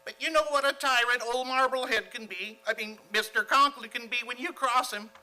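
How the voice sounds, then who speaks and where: Radio comedy role: overdramatic teen schoolboy, very shrill and high, earnest, florid, indignant. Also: male voice, a little fast, bright, forceful tone, heavily acted. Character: eager, indignant, grandiloquent teenage schoolboy. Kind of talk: old-time radio comedy acting.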